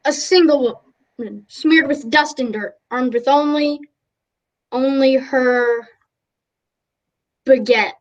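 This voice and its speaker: A woman playing an earnest, melodramatic, easily flustered radio news reporter in a comic radio play, halting and polite. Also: high voice, highs cut off. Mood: breathless awe.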